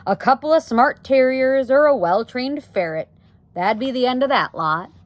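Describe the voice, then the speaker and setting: A grave, steady father from a storybook, played by a woman reader. He is firm and cautious, speaking in folksy, ungrammatical phrases with lively pitch movement. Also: no room sound. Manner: folksy ungrammatical speech, measured. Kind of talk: storybook read-aloud.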